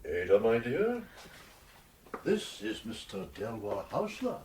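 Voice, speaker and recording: An actor playing an anxious Victorian gentleman father in a period audio drama, speaking formally and hesitantly. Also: fairly low pitch, wildly swooping pitch, slow, slight background noise, heavily acted.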